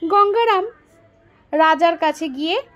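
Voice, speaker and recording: A woman narrating a story aloud in an expressive, dramatic and deliberate storytelling style. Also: very high-pitched.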